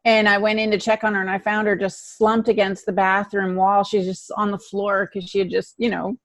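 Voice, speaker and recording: A woman's voice: mid-range voice, level tone, a little fast, dry recording. A middle-aged woman co-hosting a casual podcast, talking in a conversational, storytelling way. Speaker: podcast co-host and novelist. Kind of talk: casual panel discussion with anecdotes.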